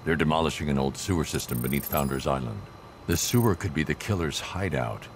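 A stoic vigilante detective for a video game. The voice is extremely low, grim and deadpan, laying out evidence tersely over faint background ambience. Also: male voice, a little fast, slight background noise. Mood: grim, resolute.

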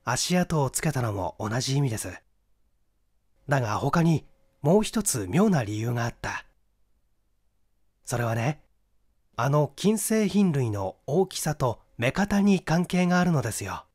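A man's voice: mid-range voice, big pitch swings, unhurried, long pauses. A man voicing a clever, confident young amateur detective who explains his deductions methodically in a mystery audiobook. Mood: confident reasoning. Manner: explanatory, methodical, rhetorical questioning. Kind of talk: Japanese mystery audiobook acting.

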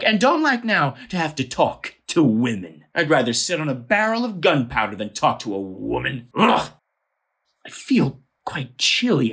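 Casting: woman as blustering male landowner in stage farce, husky voice, wildly animated delivery, constantly exasperated. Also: deep voice, full character voice. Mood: fuming. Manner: ranting, exasperated, theatrical. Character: irate, blustering male landowner demanding his money. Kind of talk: theatrical audio play acting.

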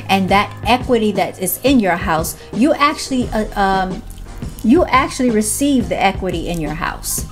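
Thirties woman, vlogger, emphatic advice delivery with rhetorical questions; light music bed. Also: mid-range voice. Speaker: clothing brand owner giving financial advice. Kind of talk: direct-to-camera advice talk. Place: indoor room with fairy lights, seated on couch.

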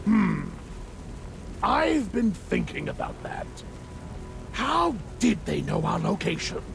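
A man's voice: high voice, slow pace, gravelly, over music, full character voice. A man voicing an eager, talkative craftsman who gushes with admiration in a game cutscene. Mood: thoughtful. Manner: excitable, gushing.

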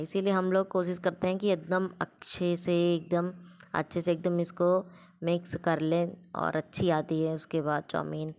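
A woman's voice: on the low side, a little mellow, clear, resonant tone. A young woman vlogger talking casually while cooking and chatting at home. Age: young adult. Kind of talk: casual chat, cooking narration. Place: small home indoors.

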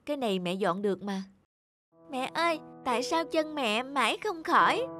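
A woman voicing a weary, sad young woman in a wheelchair in an animated cartoon. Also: sits high, moderate pace, faint music behind. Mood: tender. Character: young woman in a wheelchair, bitter then tender.

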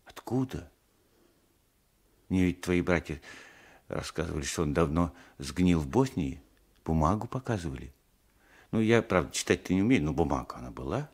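A meek, simple old villager in a radio drama. He speaks haltingly and homely, with a swooping, expressive pitch. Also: husky, heavily acted. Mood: puzzled, tender. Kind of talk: Soviet radio play acting. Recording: 1980s radio drama recording.

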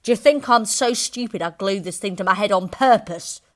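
A woman reading aloud, voicing an irritable, blustering middle-aged man who shouts and complains. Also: sits low, lively intonation, fast talker, full character voice. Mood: furious. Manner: yelling, indignant, loud.

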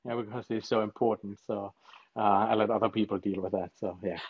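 A man's voice: on the low side, steady tone, a little fast, slightly rough. A middle-aged man from Germany with a German accent, a vet chatting as an interview guest from his home, joking and telling stories. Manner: jokey, chatty storytelling with frequent fillers. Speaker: veterinarian guest. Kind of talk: casual video-call interview. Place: home living room. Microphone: video call webcam. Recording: slightly dull video-call audio.

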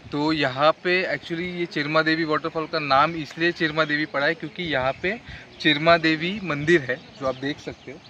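A man's voice: fairly high pitch, bright, forceful tone, slight background noise. A man vlogging outdoors, explaining directions step by step as he walks a rural trail. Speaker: travel vlogger. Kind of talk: casual guide to a trail.

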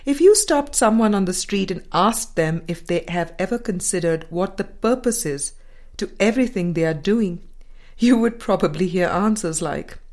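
A woman reading a spiritual book aloud in a measured, deliberate and formal way. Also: medium pitch, lively intonation, a little mellow. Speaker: audiobook reader.

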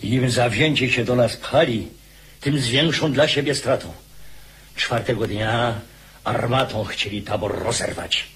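A man acts a sober chronicler of battle in a radio play. His voice sits at a middle pitch, with faint hum behind it. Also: unhurried, natural voice. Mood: sober, tense. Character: sober war chronicler reporting siege and march. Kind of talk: dramatised radio narration.

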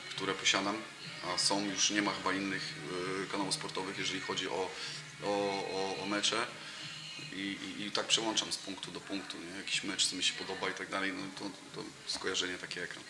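Low, flat-voiced man in a roomy cafe. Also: over music. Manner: halting, many fillers and restarts. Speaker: interview guest, football coach. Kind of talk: casual interview chat. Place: cafe room with brick wall and sofa. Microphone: table mic in front of him. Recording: roomy, noisy room.

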